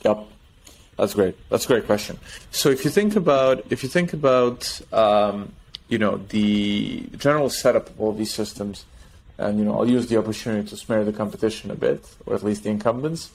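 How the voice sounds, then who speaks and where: A man talking as a guest on a podcast interview, explaining technology in an animated way with restarts and trailing thoughts. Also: fairly low pitch, normal speed, creaky, slight background noise, low-quality recording. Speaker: tech company guest.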